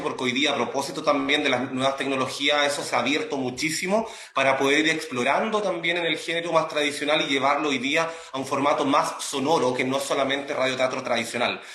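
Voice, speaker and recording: A man's voice: medium pitch, sharp tone. A middle-aged man with a Chilean accent explaining his work as an interview guest. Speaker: interview guest, sound producer and academic. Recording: roomy, echoing, faint background noise.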